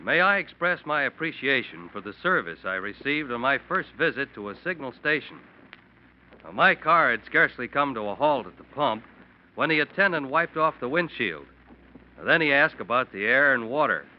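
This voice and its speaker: A man's voice: mid-range voice, lively intonation, normal speed. A man giving a formal, earnest scripted testimonial in a 1940s radio commercial. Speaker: customer giving sponsor testimonial. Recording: muffled vintage radio recording.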